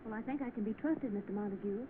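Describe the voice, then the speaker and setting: An actress playing a polite, self-assured young secretary in an old film, with prim manners. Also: female voice, medium pitch, very fast, lightly acted. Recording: tinny, noisy old film soundtrack.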